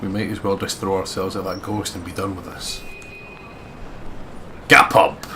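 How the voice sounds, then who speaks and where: A man's voice: deep voice, steady tone, normal speed, background noise. A man reading visual novel narration aloud as a let's play co-reader, breaking into casual asides.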